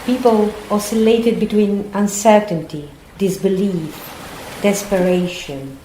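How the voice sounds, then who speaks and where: Woman, audio drama narration, scripted, unhurried, roomy echo, faint noise. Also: mid-range voice, steady tone, mellow. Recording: echoey room, faint noise.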